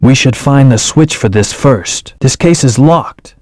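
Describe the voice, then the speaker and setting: A cool, aloof male hedgehog hero from a video game, voiced by a man in a mid-pitched voice. He speaks in calm, terse commands, and the studio sound is dry and close. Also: unhurried, no room sound, lightly acted. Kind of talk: video game voice acting, tutorial hints. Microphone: studio microphone.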